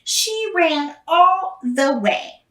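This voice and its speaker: A woman's voice: extremely high pitch, animated, extremely slow, bright, forceful tone. A middle-aged woman reading a children's story aloud expressively, stretching key words for emphasis. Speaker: storybook reader. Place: small plain indoor room. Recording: roomy echo, distant microphone.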